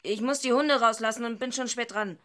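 A woman's voice: fairly high pitch, a little fast, fairly bright tone, no echo, studio quality, close to an everyday voice. An actress playing a tough, streetwise young woman, narrating a radio drama in a wry, matter-of-fact way. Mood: casual, deferential.

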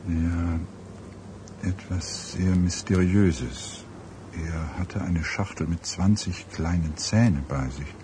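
A grave police detective with a low voice. He muses haltingly, troubled and puzzled, in the manner of a radio play. Outdoor ambience is clearly audible behind him. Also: steady tone, background noise. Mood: grim, disturbed. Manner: halting, grave, musing pauses. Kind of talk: German radio drama acting.

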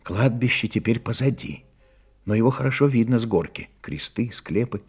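A man narrating a Soviet radio drama as a sober, measured, reflective storyteller of a detective tale. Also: mid-range voice, expressive, darkish tone, slightly rough.

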